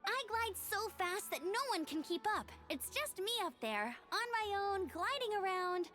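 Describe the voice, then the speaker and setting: A woman voicing a peppy, competitive young woman in a video game, teasing and expressive. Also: extremely high pitch, unhurried, soft background music, exaggerated voice. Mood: teasing, boastful. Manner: bright-spirited, teasing, expressive.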